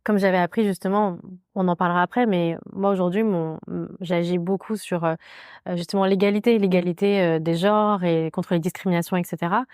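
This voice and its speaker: A woman from France, a podcast guest, telling her personal story candidly in a halting way, with many fillers and restarts. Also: fairly low pitch, steady tone, a little mellow, clean tone, no room sound, studio quality.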